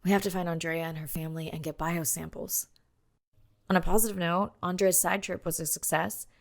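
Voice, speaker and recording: An actress playing a dedicated young woman ocean scientist and diver in a video game, calm and matter-of-fact. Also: on the low side, a little fast, creaky, dry recording, studio quality. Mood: reflective. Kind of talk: naturalistic game voice acting.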